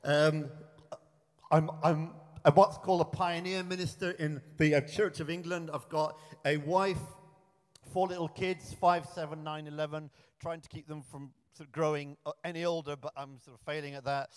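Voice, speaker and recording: A man's voice: on the high side, steady tone, moderate pace, dry recording, clean studio sound, in a large hall. A man in his thirties from Africa, a pioneer minister giving a sermon, chatty and self-deprecating with frequent restarts. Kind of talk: sermon with storytelling. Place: church.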